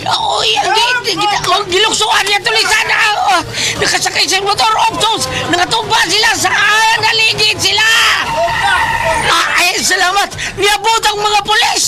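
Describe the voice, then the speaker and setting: A performer in a Bisaya radio drama playing an excitable onlooker, frantic and stammering as words get repeated. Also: very high voice, moderate pace, raised voice, over music, a crowd in the background, poor audio. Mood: frantic alarm. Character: excitable onlooker shouting out a fight blow by blow.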